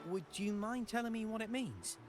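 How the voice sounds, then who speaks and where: An actor voicing an inquisitive, polite, dreamy code enthusiast in a video game, musing and hesitant. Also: male voice, sits high, lively intonation, normal speed, noisy background. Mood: thoughtful, polite. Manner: musing, hesitant, murmuring hums between thoughts. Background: game music.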